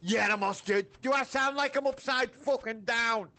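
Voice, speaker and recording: A man with a Liverpool Scouse accent, joking loudly and crudely in casual voice chat. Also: extremely high pitch, normal speed. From England. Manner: loud, crude, sweary banter. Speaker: player in a game stream. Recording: voice chat with background noise.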